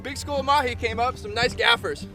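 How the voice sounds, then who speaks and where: Excited high-voiced angler. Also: male voice, a little fast, over music. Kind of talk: excited live fishing commentary. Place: on a boat offshore, outdoors.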